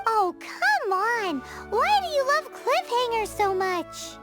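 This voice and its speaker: A woman voicing a tiny, excitable floating fairy companion who talks about herself in the third person, in video game acting. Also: extremely high pitch, slow, heavily acted. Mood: chirpy. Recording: game audio with music behind.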